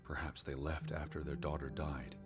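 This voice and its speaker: An actor playing a weary, hesitant American naturalist of the 1920s in a video game, speaking in a halting, subdued way. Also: male voice, little pitch movement, music in the background. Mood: weary, evasive. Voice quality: low, husky.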